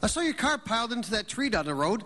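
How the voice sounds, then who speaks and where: A man acting in a live radio drama as a gruff but friendly small-town policeman with a folksy manner. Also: very high voice, big pitch swings, fast talker, sharp tone, heavily acted. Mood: puzzled concern. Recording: reverberant live stage, faint noise.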